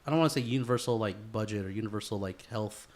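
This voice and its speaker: A man's voice: lowish voice, rapid-fire. A man hosting a podcast as casual chat, slangy and loose, swearing freely. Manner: slangy, calls listener bro and dude, swears freely. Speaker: podcast host.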